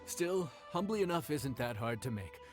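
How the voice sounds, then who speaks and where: A man's voice: medium pitch, big pitch swings, moderate pace, soft background music, lightly acted. An actor playing an easygoing, watchful kitchen man in a video game, conversational and obliging. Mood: affable.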